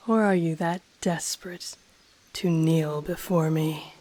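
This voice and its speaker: A woman voicing an ancient, haughty, teasing dragon in human form, in an intimate audio roleplay with a breathy voice that often drops to a whisper. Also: sits low, darkish tone. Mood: mocking, probing. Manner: slow, deliberate, condescending, teasing. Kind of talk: intimate audio roleplay, scripted character acting.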